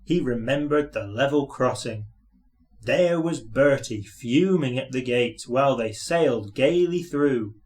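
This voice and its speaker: A man's voice: mid-range voice, lively intonation, a little slow, a little mellow. A man reading a children's story aloud as scripted narration.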